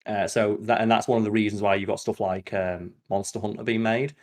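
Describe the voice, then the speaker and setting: A man's voice: on the low side, little pitch movement, fairly quick, darkish tone. A man chatting casually as a podcast host, blunt and opinionated, with plenty of swearing.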